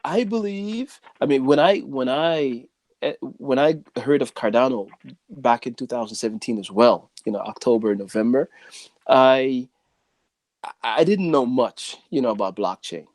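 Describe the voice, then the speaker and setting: A man hosting a podcast in a casual, conversational way, speaking haltingly and often dropping in filler phrases. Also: mid-range voice, animated, darkish tone, dry recording. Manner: halting, frequent "you know". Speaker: podcast host.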